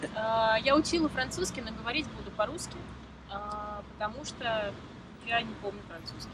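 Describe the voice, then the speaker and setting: A woman from Moscow answers interview questions in a measured, explanatory manner. She is picked up far from the mic, and heavy street traffic roars behind her. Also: on the high side. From Moscow, Russia. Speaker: interview guest. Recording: distant mic with loud traffic noise.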